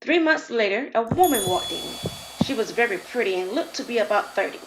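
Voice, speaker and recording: A woman narrating a scripted short story in a slow, measured storytelling manner. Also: a little high, lively intonation, background noise.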